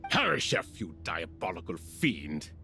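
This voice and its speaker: A man's voice: low voice, big pitch swings, measured pace, gravelly, sharp tone, soft background music, full character voice. An actor playing an indignant, hot-tempered king of an ancient council in a video game. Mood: indignant, furious. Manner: indignant, blustering. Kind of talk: dramatic video game voice acting.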